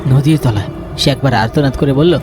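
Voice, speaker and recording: A man's voice: medium pitch, normal speed, background noise. A man narrating a scripted ghost story in a dramatic storytelling style. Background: background music.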